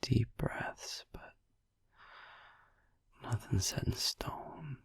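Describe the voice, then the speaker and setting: Man playing a slow, whispering, gravelly vampire boyfriend. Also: medium pitch, no room sound, studio quality, exaggerated voice. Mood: calm, soothing. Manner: soft, halting, soothing, casual. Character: gentle, caring vampire boyfriend soothing listener to sleep. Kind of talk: ASMR sleep-aid roleplay. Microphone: close, dry microphone.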